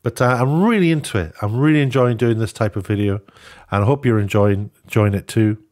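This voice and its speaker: A man's voice: lowish voice, brisk, a little mellow. A man giving casual, rambling opinion commentary as an online video host, often posing rhetorical questions, slightly sniffly.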